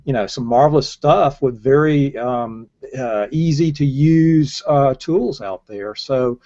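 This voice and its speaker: An older man on an online panel, speaking in a hesitant, halting way over a video call. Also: mid-range voice, mellow. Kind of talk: panel discussion. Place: indoors against a dark backdrop. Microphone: earphone headset on video call. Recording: dull, lo-fi video call audio.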